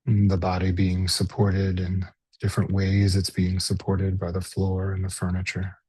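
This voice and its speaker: A man with a soft, breathy, husky voice leads a guided body scan meditation as a teacher, gently and unhurriedly, pausing between short phrases. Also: low voice, completely flat delivery, dry recording.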